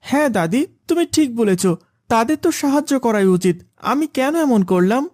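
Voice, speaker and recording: Woman voicing high-pitched pampered cartoon boy. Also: expressive, brisk, a little mellow, no room sound, clean studio sound. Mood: remorseful. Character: proud, pampered young boy in a moral cartoon. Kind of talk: Islamic moral cartoon dialogue.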